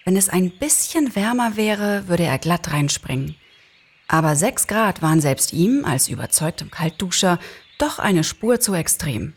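Female narrator, slightly low and husky, reading a story live. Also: expressive, a little slow. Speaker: author and narrator, actress. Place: small theatre, live recording.

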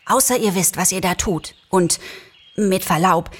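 Man voicing a husky, high-pitched shrewd fox. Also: measured pace, fairly bright tone. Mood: urgent, condescending. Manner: urgent, conspiratorial. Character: shrewd, urgent, bargaining male fox.

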